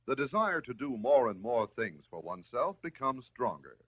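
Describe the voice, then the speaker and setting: An American man delivering scripted narration in the style of a 1950s educational film, measured, instructive and reassuring. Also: mid-range voice, sing-song, breathy edge. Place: voice-over recorded for film. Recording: muffled, narrow-band 1950s film soundtrack.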